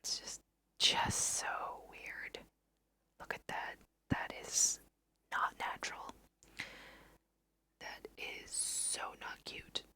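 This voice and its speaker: Female ASMR gamer: slow, crisp whisper, chatty and amused, close on the mic. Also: sharp tone. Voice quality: breathy, unvoiced whisper. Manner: chatty, self-amused, thinking aloud. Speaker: host of a gaming let's play. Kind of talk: ASMR whispered casual game commentary.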